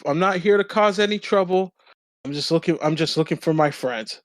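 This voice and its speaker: Guy with a pretty high voice playing a tabletop rpg, sweary and blunt, dry audio. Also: a little fast, no room sound. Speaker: tabletop game player. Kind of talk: casual in-game chat.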